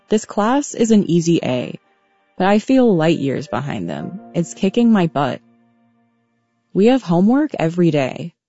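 Low, mellow young woman narrating. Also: no room sound. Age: 20s. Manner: reflective, measured. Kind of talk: scripted personal narration. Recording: dull, muffled audio.

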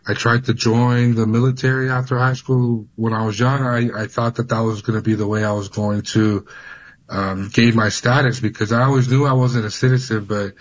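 A man's voice: medium pitch, fairly even intonation, normal speed, lo-fi sound. A young man from California telling his own story on a radio show, hesitant and casual with many restarts. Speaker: guest sharing his story.

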